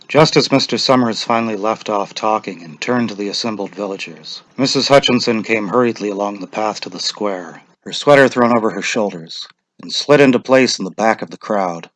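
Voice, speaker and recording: A man narrating a short story as a dramatic reading, measured and deliberate. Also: fairly low pitch, fairly bright tone, rough recording.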